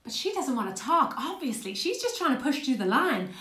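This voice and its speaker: A woman acts out a blunt, fond, working-class older woman in a stage monologue. The voice is high and very lively, chatting through old memories. The room echoes around it. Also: fairly quick, exaggerated voice. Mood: amused storytelling. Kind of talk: dramatic stage monologue, conversational storytelling. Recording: roomy, echoing space.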